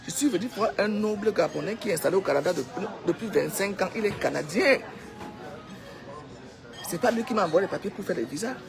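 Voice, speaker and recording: A Cameroonian woman speaks informally to her followers with strong opinions. She urges and exhorts, hammering phrases by repeating them. Music plays clearly behind her voice throughout. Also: mid-range voice, animated, brisk. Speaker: social media commentator.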